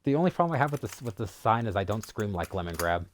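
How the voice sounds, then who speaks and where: A man with a deep, lowish voice who talks quickly. He chats with a friend during a game stream in an easygoing way, dropping wry remarks. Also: big pitch swings, slight background noise. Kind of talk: casual chat while gaming.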